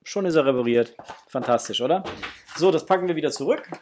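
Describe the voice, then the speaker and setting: A man's voice: fairly high pitch, lively intonation, fast talker, darkish tone, slight background noise. A man, chatting informally and enthusiastically as he presents a casual unboxing review at home. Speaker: toy review channel host. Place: small home room, indoors.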